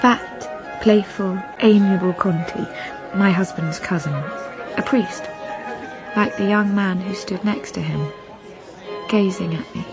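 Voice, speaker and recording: An actress playing an innocent, sorrowful young wife in a period radio drama, narrating in a measured, confiding way. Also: female voice, mid-range voice, over music, poor audio. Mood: sorrowful, restrained.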